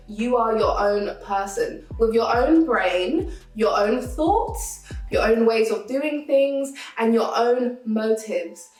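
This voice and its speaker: A woman's voice: a little high, recorded from a distance. A woman host giving earnest, advising commentary to her viewers. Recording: echoey room, mic set back, faint music.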